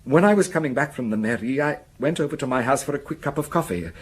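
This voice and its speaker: An actor playing an anxious, defensive village schoolmaster in a radio drama, with a husky, nervous delivery. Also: male voice, medium pitch, lively intonation, fast talker. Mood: uneasy.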